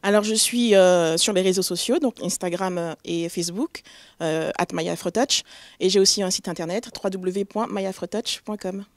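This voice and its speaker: A woman's voice: medium pitch, moderate pace, no room sound. Hesitant woman guest, dry sound. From Togo. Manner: hesitant, many fillers, warm and proud. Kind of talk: interview answers.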